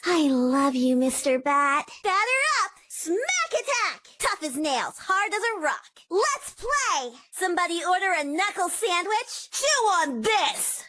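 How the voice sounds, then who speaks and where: Bratty game slugger girl: very high, bright, sassy and cute. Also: dry recording, heavily acted. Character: cocky, tough little batter girl with a bat. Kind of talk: video game character voice lines.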